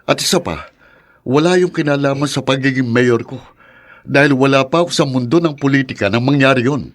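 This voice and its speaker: A man playing a combative, self-righteous younger brother and mayor, accusing and forceful, in Filipino radio drama style with a Filipino accent. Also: medium pitch, brisk, natural voice. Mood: defensive.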